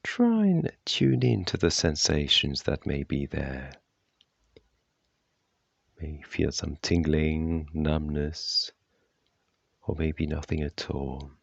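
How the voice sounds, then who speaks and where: Very deep, creaky male meditation guide. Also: very animated, no echo, dull audio. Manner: gentle, unhurried, measured phrasing. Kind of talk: guided body scan meditation, slow instructions with long pauses.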